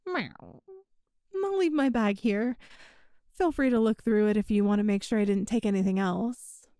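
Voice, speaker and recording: A woman voicing a shy, hesitant young catgirl thief in an ASMR roleplay, speaking softly with stammers and little meows, close to the mic. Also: mid-range voice, moderate pace, clean tone, no room sound, lightly acted. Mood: relieved. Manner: soft, hesitant, stammering, meows between phrases. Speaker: voice actor.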